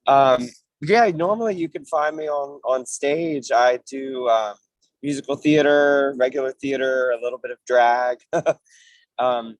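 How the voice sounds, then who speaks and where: A man's voice: medium pitch, dry recording, poor audio. A man who performs on stage, chatting casually and appreciatively as a guest on an online panel. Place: remote online call, dry close sound.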